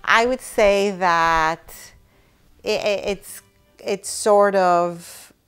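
A woman's voice: mid-range voice, extremely slow, fairly bright tone, clean tone, faint music behind. A woman in her thirties, raised in Greece, sitting on a living room sofa and reflecting thoughtfully in a documentary interview, often checking in with "right?". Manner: thoughtful, frequent restarts and "right?" tags.

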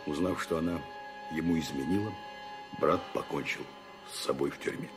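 An actor playing a vengeful, grieving executioner, speaking in a solemn, halting, weighty way in a radio drama. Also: male voice, extremely low pitch, over music. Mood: grim, sorrowful. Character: vengeful, grim executioner mourning his brother. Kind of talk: 1990s Russian radio drama acting.

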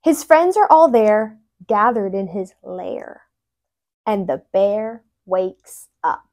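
A young woman reads a children's picture book aloud in an expressive, animated way, wearing a lapel mic indoors. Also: medium pitch, takes it slowly. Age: young adult. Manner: animated, with brief asides explaining words.

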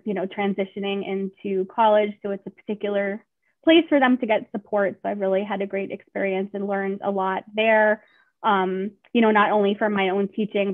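Flat-voiced woman on a tinny call. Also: mid-range voice. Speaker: postdoc, panelist. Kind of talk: casual panel talk on video call. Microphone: video call. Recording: phone-like video call audio.

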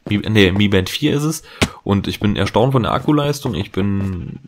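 A low-voiced man talks casually over his gameplay, drifting from thought to thought with plenty of fillers and self-corrections. A faint hiss and the odd click sit under his voice, as from a home desk mic. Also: lively intonation, normal speed. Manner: chatty, rambling, many fillers and restarts. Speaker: let's play host. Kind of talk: casual gaming commentary.

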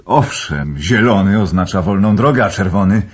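An actor playing an earnest, brooding young gentleman in a radio drama, telling things in a measured, ominous way. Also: deep voice, lively intonation, husky, muffled recording.

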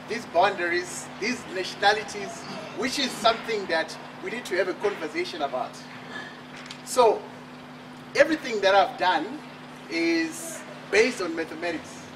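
A man from Zimbabwe in his thirties giving an emphatic motivational speech at a book launch, posing rhetorical questions. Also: sits high. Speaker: author and speaker. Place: outdoor terrace at night. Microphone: far camera. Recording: distant camera with outdoor noise.

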